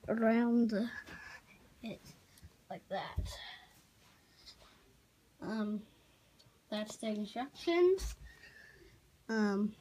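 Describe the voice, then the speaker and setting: A child giving a casual, halting show-and-tell narration while filming on a handheld phone in a room at home. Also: mid-range voice, expressive, dark tone. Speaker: amateur toy video maker. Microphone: handheld phone behind the camera. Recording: noisy handheld home recording with clicks.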